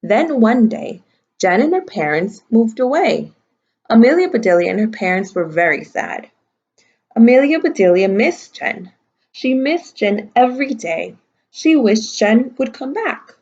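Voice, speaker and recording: A woman's voice: a little high, very animated, unhurried, darkish tone, husky, muffled recording. A woman teacher reading a picture book aloud to young children, adding simple explanatory asides. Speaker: kindergarten and first grade teacher.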